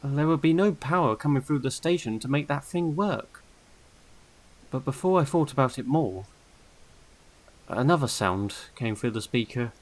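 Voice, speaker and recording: A man narrating a scripted first-person horror story in a measured, deliberate way with dramatic pauses. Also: mid-range voice, expressive, a little hiss or hum, poor audio. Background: eerie background music.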